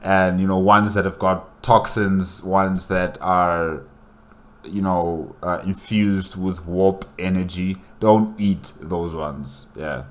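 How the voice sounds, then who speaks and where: A man giving casual reaction commentary as a host, in a halting, repetitive, amused way. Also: deep voice, fairly even intonation.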